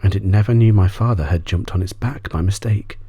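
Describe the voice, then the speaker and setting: A man reads an audiobook as an ASMR bedtime story, soft-spoken and often trailing off into a whisper. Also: on the low side, brisk, husky, dry recording. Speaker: audiobook reader.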